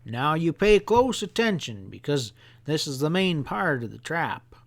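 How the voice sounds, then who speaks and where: A man's voice: on the high side, sing-song, a little slow, creaky, full character voice. A man voicing a mischievous, folksy old country grandfather who explains things with earnest emphasis in an audiobook story. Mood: earnest, instructive. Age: older.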